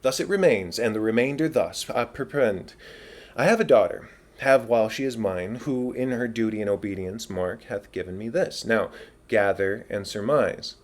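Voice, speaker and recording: A man's voice: lowish voice, lively intonation, normal speed, natural voice. A man playing a long-winded, self-important old counsellor in a dramatic Shakespeare reading, pompous and rhetorical. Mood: officious, declaiming. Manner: pompous, rambling, rhetorical, digressing.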